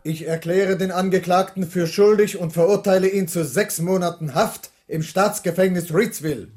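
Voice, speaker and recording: High-voiced and stern, an actor plays a male judge in a radio drama. He pronounces formally, with faint noise behind. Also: normal speed, a little mellow, close to an everyday voice. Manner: stern, official.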